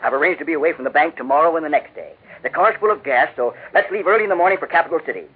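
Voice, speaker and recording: An actor plays a genial, decisive middle-aged American father in a 1940s radio drama. He talks fast in a fairly high voice. He sounds away from the mic on a tinny old recording. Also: recorded from a distance, natural voice.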